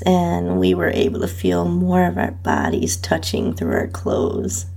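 Husky, low-pitched woman narrating erotica. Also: darkish tone. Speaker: podcast host and narrator. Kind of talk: first-person erotic audio story narration.

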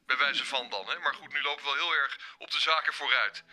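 A man's voice: medium pitch, fairly quick, pushed and bright. A man playing an awkward, rambling bank official with false joviality in a comedic radio play. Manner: hesitant, digressive, officious.

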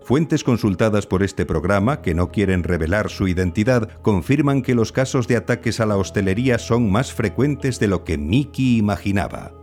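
A man with a fairly low voice hosts an investigative podcast. He reads scripted true-crime narration over faint music. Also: expressive, normal speed, hardly any pauses, darkish tone.

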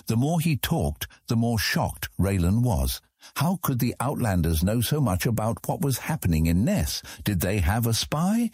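A man's voice: low voice, mellow, slightly rough, no room sound, clean studio sound. A man reading scripted fantasy narration as an audiobook narrator.

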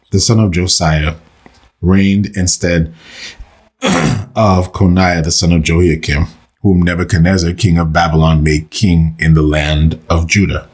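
A man leading a slow, meditative scripture reading and prayer for listeners, saying he is under the weather and losing his voice. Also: low voice, slightly rough. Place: recorded for online listeners.

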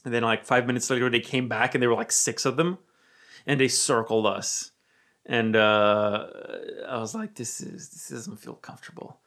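A young man from Romania speaking with an American accent, his voice sitting at an ordinary middle pitch. He tells a story in loose, casual chat, swearing freely and sinking to a low murmur whenever he quotes his own thoughts. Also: normal speed. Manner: animated, swears freely, drops to a low murmur when quoting himself.

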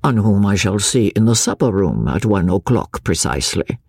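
A reader voicing a calm, cold male spy chief with a dry, sarcastic manner, pitched below the narrating voice. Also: moderate pace, vocal fry, slightly rough, no room sound, full character voice. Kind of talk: audiobook dialogue.

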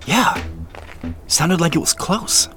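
An actor playing an excitable, uneasy but earnest camper in a naturalistic audio drama, speaking in halting, emphatic phrases. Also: male voice, a little high, over music. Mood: startled, curious. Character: excitable, uneasy man on a camping trip, earnest.

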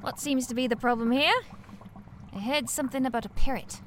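A pet store manager played by a woman for audio comedy. She has a high voice and a placating, matter-of-fact manner, with light noise behind her. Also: fairly even intonation, fairly quick, lightly acted. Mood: placating, firm. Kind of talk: audio drama comedy acting.

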